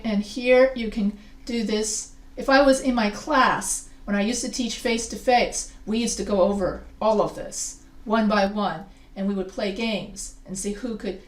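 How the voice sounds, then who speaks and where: A woman teaching a recorded grammar lesson. English is not her first language, and she explains slowly, often repeating and restarting phrases. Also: medium pitch. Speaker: writing teacher. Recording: echoey room, a bit far from the mic.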